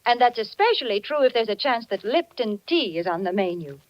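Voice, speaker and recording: Lively high-voiced 1940s radio spokeswoman. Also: fairly quick. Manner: chatty, emphatic selling. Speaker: sponsor spokeswoman, co-host. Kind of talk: 1940s radio commercial pitch. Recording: muffled, dry vintage 1940s radio.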